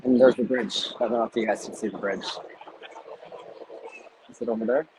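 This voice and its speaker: A man joining a live stream by phone from outdoors at a harbor, speaking haltingly. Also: lowish voice, gravelly. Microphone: phone on a video call. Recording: noisy outdoor phone call.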